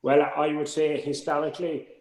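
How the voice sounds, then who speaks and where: A man's voice: on the high side, flat delivery, normal speed, a little mellow. An Irish man giving informal, conversational advice to a drama group. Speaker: drama workshop adviser. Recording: echoey room sound.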